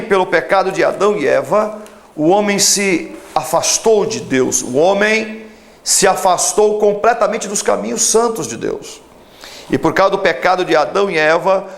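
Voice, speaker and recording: A man's voice: sits high, expressive, measured pace, vocal fry. A pastor in his forties preaching a televised sermon and leading a fervent prayer, stretching out emphatic phrases. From Brazil. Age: 40s.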